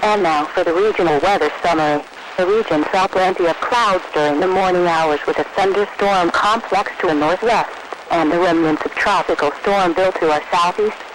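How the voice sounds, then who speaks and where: A man's voice: sits high, normal speed. An American man reading a scripted regional weather summary over the radio in a formal, impersonal manner. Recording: noisy weather radio reception.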